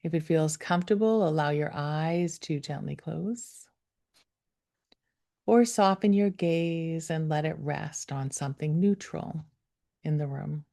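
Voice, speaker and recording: A woman guides a meditation in a low, mellow voice with a noticeable creak. She is gentle and unhurried, leaving space between phrases. Her phrase endings soften into breath, close to a whisper. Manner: gentle, unhurried, with long pauses. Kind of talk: guided meditation, slow soothing instruction.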